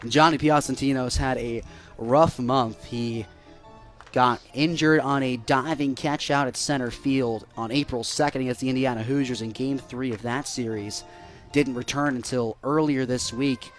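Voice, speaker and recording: An American man doing live baseball play-by-play on the radio, measured between pitches and lifting with excitement on plays. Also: soft background music. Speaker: play-by-play broadcaster.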